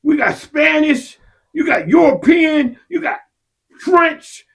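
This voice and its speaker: A man in heated casual discussion, impassioned and profane, his voice rising to shouts. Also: very high voice, expressive, takes it slowly. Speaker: podcast co-host.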